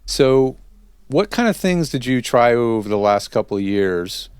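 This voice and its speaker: A man's voice: mid-range voice, animated, a little slow, a little mellow, clear, resonant tone, dry recording, studio quality. A man hosting a casual interview, chatting in a rambling way that drifts into tangents. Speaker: podcast host and interviewer.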